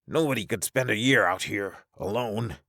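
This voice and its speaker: A man's voice: fairly low pitch, moderate pace, fairly bright tone, no echo, studio quality, voice pushed up. A man voicing a stubborn, desperate spaceman afraid of being alone, agitated and pleading, in a science fiction audiobook.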